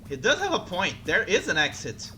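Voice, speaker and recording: Excitable male streamer. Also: high voice, normal speed, soft background music. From Brazil. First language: Portuguese. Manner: excitable, flustered, chatty. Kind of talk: live game commentary and chat.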